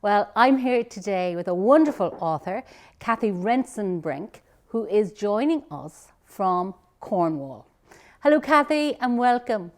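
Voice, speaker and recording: A woman's voice: mid-range voice, lively intonation, measured pace. A woman from Ireland hosting an online interview, asking friendly, leading questions over a video call. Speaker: interviewer and host.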